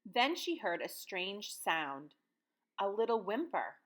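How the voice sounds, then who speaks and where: A woman's voice: medium pitch, big pitch swings, slow, a bit bright. An American woman in her thirties, a teacher reading and talking to young children in simple, guiding phrases. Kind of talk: picture-book read-aloud and lesson for children.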